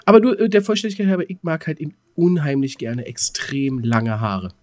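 A man's voice: fairly high pitch, fairly quick. A man chatting casually as co-host of a podcast. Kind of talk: casual chat.

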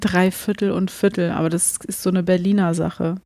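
A woman co-hosting a podcast, explaining things in a casual, halting, self-correcting way. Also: fairly low pitch, level tone, creaky, mellow, no room sound, clean studio sound. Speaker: podcast co-host.

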